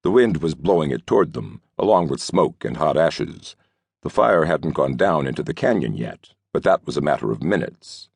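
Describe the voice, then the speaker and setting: A man narrating an audiobook novel in a scripted storytelling style, with a gravelly voice. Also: extremely low pitch, no room sound.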